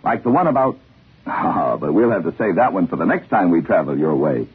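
An American man hosting a 1950s radio show, telling scripted humorous tales in a husky voice. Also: low-pitched, big pitch swings, brisk. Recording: muffled narrow-band 1950s radio recording.

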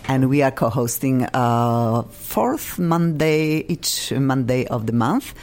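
A woman's voice: extremely low pitch, slow, a little mellow. A woman from Poland with a Polish accent, hosting a radio talk show in a bubbly, effusive way and laughing often.

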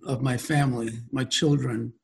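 A man in his sixties, born in Iran with Farsi as his first language, answering interview questions in a relaxed, plain-spoken way. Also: mid-range voice, moderate pace, gravelly. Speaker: guest, company chairman.

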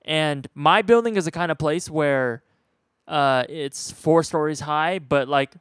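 A man's voice: fairly high pitch, a little slow, fairly bright tone, clean tone, no room sound, studio quality. A man in his twenties chatting casually, rambling and laid-back, swearing now and then. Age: 20s. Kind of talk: casual chat.

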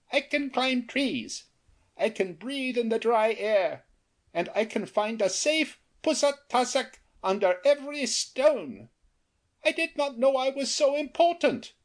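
A woman reading a storybook aloud, voicing a tiny male green crab who slowly marvels at his new powers. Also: fairly high pitch, lively intonation, pitched above the performer's own voice. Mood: marveling. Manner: slow, marveling, boastful.

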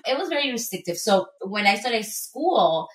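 A woman's voice: fairly high pitch, big pitch swings, normal speed, fairly bright tone. A woman in her thirties from Ecuador, talking openly and reflectively as a podcast guest. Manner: open, reflective storytelling. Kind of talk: casual interview chat. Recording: echoey room, far from the mic.

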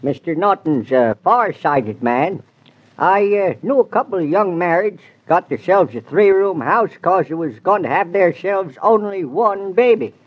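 A high, lively old farmhand spinning homespun yarns in a rural drawl, with a husky edge. It comes through a dull 1940s radio recording. The radio play keeps him chatty and sly throughout. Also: male voice, unhurried. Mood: folksy yarning. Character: folksy talkative old male farmhand.

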